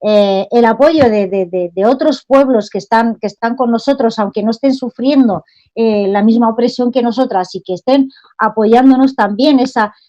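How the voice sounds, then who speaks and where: A woman from Palestine, an activist speaking earnestly at an online panel over a video call microphone, pausing often with "eh" and restarting her sentences. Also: fairly high pitch, dark tone, lo-fi sound. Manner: earnest, fervent, with frequent "eh" pauses and restarts. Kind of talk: impassioned talk at an online panel.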